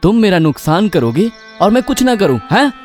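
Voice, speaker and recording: A man's voice: sits high, big pitch swings, moderate pace, darkish tone, soft background music. A man voicing a scheming, bullying husband and master in a cartoon story, gloating and threatening with emphatic delivery. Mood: stern, threatening. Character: scheming, bullying lazy husband, a barber posing as magician master. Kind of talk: Hindi cartoon story acting.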